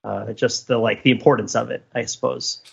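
A man's voice: medium pitch, steady tone, a little fast, vocal fry, slightly rough, muffled recording. A man talking casually as a podcast panelist, rambling and often interrupting himself as he searches for words. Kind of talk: casual discussion.

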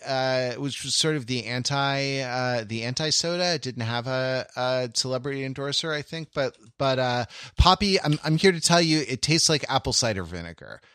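A man hosts a casual pop culture podcast in a very dry, close recording. His pitch is average, and he speaks in a halting, wandering way, often searching for the right word. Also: bright, forceful tone, clear, resonant tone, no room sound. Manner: halting, meandering, searching for words. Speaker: podcast host.

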